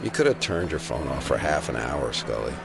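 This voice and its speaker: A man's voice: very deep voice, big pitch swings, brisk, creaky, full character voice. An actor playing a wry, understated male federal agent in an audio drama set at a busy fair. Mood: wry teasing. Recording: loud fairground crowd and music.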